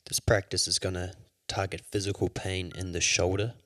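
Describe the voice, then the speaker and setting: A man leading a guided yoga nidra meditation as an instructor, with soothing, measured instructions. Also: sits low, flat delivery, fairly bright tone, no echo, clean studio sound.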